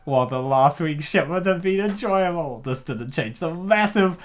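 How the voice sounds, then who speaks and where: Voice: mid-range voice, animated, moderate pace. A host narrating an amateur comic dub, mixing storytelling with jokey, rambling casual commentary.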